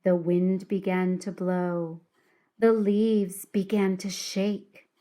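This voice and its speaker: Slow-paced woman reading a children's story. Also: medium pitch, little pitch movement, mellow. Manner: deliberate, dramatic storytelling for children. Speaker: teacher reading a children's book aloud. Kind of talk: expressive read-aloud story narration.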